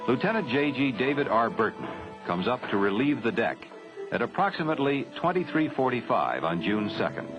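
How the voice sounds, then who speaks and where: A man reading scripted training film narration in a formal, measured, matter-of-fact way. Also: medium pitch, sing-song, music in the background. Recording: dull 1970s film soundtrack.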